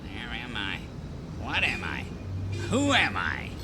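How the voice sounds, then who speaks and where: Sleazy, swooping radio serial villain. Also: male voice, mid-range voice, huge pitch swings, takes it slowly, noisy background. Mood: bewildered. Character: sleazy amnesiac arch villain, gruff and impatient schemer. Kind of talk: parody of old radio serial acting.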